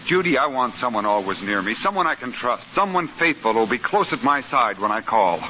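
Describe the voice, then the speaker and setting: An actor playing an earnest, lovesick, pleading suitor in a 1940s radio comedy. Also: male voice, medium pitch, sing-song, a little fast, lightly acted. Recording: muffled hissy 1940s radio recording.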